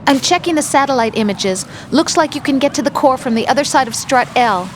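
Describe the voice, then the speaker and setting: Young female game operator: high voice, informative, helpful, concerned. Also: animated, brisk, slight background noise. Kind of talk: dramatic video game acting.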